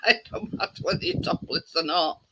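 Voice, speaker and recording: A woman's voice: sits low, noisy background. A woman hosting a casual live chat, rambling with lots of fillers and frequent laughs. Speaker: live stream host.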